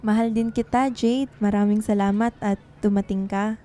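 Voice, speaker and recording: A woman's voice: mid-range voice, fairly even intonation, normal speed, dark tone, close to an everyday voice. A woman playing an earnest, tender young woman writer in love, in a radio drama. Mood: affectionate.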